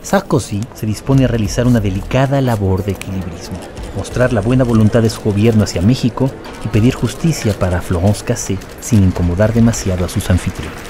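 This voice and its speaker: Low male narrator over music. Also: fairly quick. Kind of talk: scripted documentary drama narration. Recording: music clearly behind the voice.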